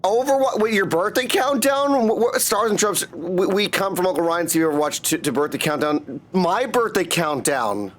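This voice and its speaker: High-pitched man chatting on a livestream. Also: lively intonation, normal speed, few pauses. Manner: chatty, greets viewers by name. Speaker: game livestreamer. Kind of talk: casual livestream chat.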